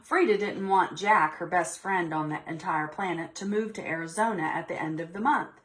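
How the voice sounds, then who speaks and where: A woman leading a preschool storytime, reading a picture book aloud expressively and pausing to ask the children questions. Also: lowish voice.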